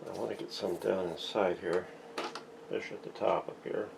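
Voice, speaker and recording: A man's voice: low voice, level tone, brisk, vocal fry, noisy background. A man giving a casual, plainspoken hands-on workshop demonstration, narrating each step as he works. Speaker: vintage radio restorer and host. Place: home workshop.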